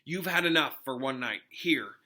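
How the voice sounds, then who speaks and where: A man voicing an adult wizard teacher who is caring, guarded and firm, with a measured mentoring manner, in a storybook reading. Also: medium pitch, expressive, bright, forceful tone. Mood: kindly firm.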